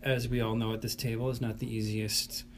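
A man born in Wisconsin, appearing as a guest artist on a podcast. He answers questions in an easygoing, affable way. His pitch sits in a middle range. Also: level tone, fairly quick, slight background noise. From Wisconsin, USA. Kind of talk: interview chat.

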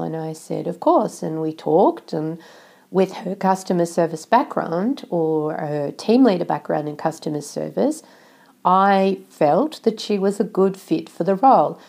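A woman's voice: fairly low pitch, vocal fry, warm tone. Nasal woman host with a cold. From Australia. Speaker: podcast host. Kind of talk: solo podcast talk.

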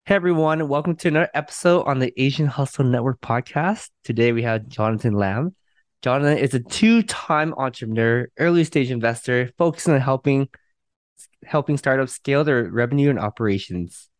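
A man's voice: mid-range voice, a little fast, no echo, clean studio sound. A man hosting an interview podcast, friendly and upbeat, with many "like" fillers. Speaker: podcast host.

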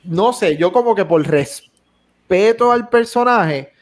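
A man's voice: high voice, expressive, takes it slowly. A man co-hosting a gaming podcast, talking casually with frequent restarts and English words mixed in. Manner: rambling, many restarts and Spanglish. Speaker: podcast co-host. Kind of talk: casual chat.

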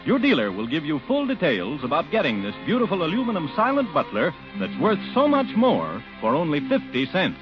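A man's voice: on the high side, wildly swooping pitch, fairly quick, hardly any pauses. An American man delivering an old radio commercial as a punchy, enthusiastic salesman. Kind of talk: 1940s-50s radio commercial. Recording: dull old radio recording with music.

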